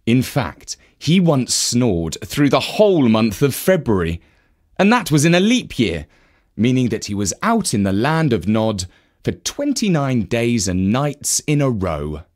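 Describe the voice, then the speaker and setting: A man narrating a children's story from a script in an expressive, measured manner. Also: medium pitch.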